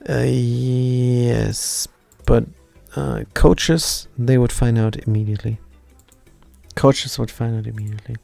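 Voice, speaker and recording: A man's voice: mid-range voice, little pitch movement, slow, creaky, dark tone, no room sound, faint music behind. A man from Germany with a German accent, thinking aloud as he codes live and chats with his viewers in a wry, self-deprecating way. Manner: self-deprecating, wry, mutters half-finished lines. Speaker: live-streaming coder. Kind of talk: thinking aloud while coding, chatting with viewers.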